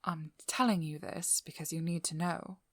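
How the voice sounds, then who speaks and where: Casting: actress for a cunning female vampire hunter in intimate ASMR audio drama; lowish, breathy, playfully domineering, very dry recording. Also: steady tone, normal speed, a bit bright, no echo. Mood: cool, probing. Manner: teasing, playful, coolly controlling. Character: devious, vigilant female vampire hunter, flirty then businesslike. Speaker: audio roleplay performer. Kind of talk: scripted ASMR roleplay, addressing the listener.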